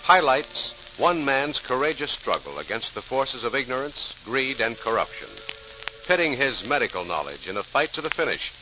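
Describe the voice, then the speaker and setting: A man's voice: medium pitch, big pitch swings, normal speed, over music. A man narrating an old-time radio program as its announcer, in a formal, dramatic scripted style. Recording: noisy, hissy old radio recording.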